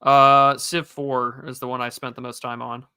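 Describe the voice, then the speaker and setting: Bright, hesitant young man on a podcast. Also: mid-range voice, level tone, normal speed, clean tone, no room sound, clean studio sound. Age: young adult. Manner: hesitant, many fillers and restarts. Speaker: podcast host and streamer. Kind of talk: casual chat.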